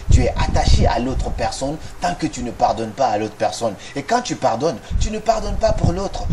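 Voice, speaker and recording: A man giving a motivational talk straight to the listener, speaking directly and firing off rhetorical questions. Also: mid-range voice. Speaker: motivational video host. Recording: noisy recording with clicks.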